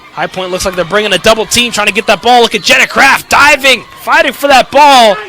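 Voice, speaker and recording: A man's voice: extremely high pitch, a little fast, bright, forceful tone, slight background noise. A young American man delivering sports news and commentary with an emphatic broadcast delivery. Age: young adult. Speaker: student sports anchor, reporter and play-by-play commentator.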